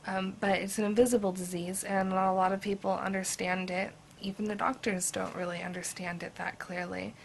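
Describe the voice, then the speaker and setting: A woman's voice: mid-range voice, level tone, brisk, vocal fry, few pauses, slight background noise. A woman hosting a personal video, explaining in a plain, earnest way to camera. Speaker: video host, patient advocate.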